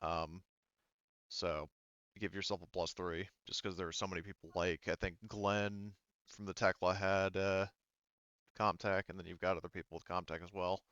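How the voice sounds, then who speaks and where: A man with a smooth, lowish voice works as game master, talking casually and feeling his way through explanations. The sound is close and dry, slightly dull. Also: level tone, normal speed, a bit bright, no room sound. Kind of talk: improvised tabletop game narration and rulings.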